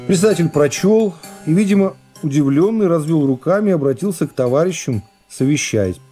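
Male narrator for literary radio drama; dark, soft-edged tone, scripted dramatic delivery. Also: a little high, lively intonation, fairly quick, soft background music. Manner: dramatic reading of classic prose.

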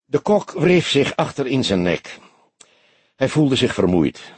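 A man's voice: mid-range voice, big pitch swings, slow, slightly rough, no echo. A man reading a detective novel aloud as an audiobook narrator, in scripted storytelling style.

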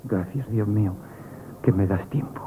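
A man's voice: fairly low pitch, normal speed, natural voice. A man as a timid, stammering shop boy. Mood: frightened, pleading. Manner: stammering, pleading. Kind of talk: Spanish radio theatre acting, 1970s. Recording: tinny, noisy old radio broadcast.

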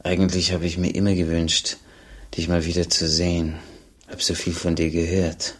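An older man acting a tired, kindly former robber in a radio play, plain-spoken and husky. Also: sits low, completely flat delivery, normal speed. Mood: plain, wary.

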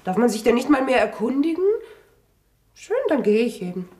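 A woman's voice: fairly high pitch, animated, moderate pace, darkish tone, close to an everyday voice. An actress playing a nosy, petulant young woman who keeps pressing questions, in a 1970s radio play. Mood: pushy. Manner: pushy, inquisitive, petulant.

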